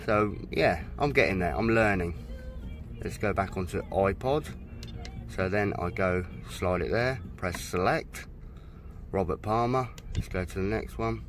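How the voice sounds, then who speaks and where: A man's voice: sits low, soft background music. A man from the United Kingdom, casually demonstrating his boat stereo outdoors and reading out menu items as he goes. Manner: chatty, unhurried, reads out menu items. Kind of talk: casual hands-on product demo. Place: outdoors at a boat in a dry stack.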